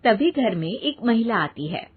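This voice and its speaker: A woman's voice: on the high side, big pitch swings, unhurried, husky. Female storyteller, scripted linking narration, thin narrow-band phone-like recording.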